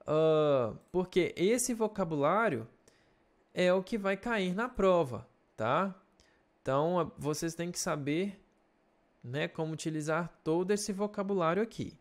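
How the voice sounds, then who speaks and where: A young man with a Brazilian Portuguese accent, an English teacher slowly explaining a lesson in a recorded video and checking in with his students. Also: high voice, animated. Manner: slow, patient explaining with check-in questions.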